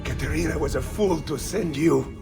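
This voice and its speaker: A man's voice: a little high, normal speed, creaky, over loud music. An actor playing a cruel, smug mercenary nobleman in a video game cutscene, speaking in a sneering, threatening way. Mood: defiant, dying. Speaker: villain.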